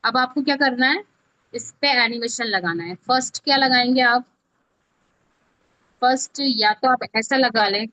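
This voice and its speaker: A woman's voice: on the high side, moderate pace, long pauses, sharp tone, no echo. A woman instructor giving an informal step-by-step tutorial, often checking that listeners are following along. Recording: dull, lo-fi, dry close audio.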